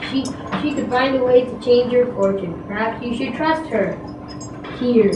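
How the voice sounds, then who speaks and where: A woman's voice: on the high side, normal speed, close to an everyday voice. A female amateur performer playing a kindly, encouraging male fish seller in a readers theatre play. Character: kindly goldfish seller man, encouraging, mild. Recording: very echoey distant room, noise.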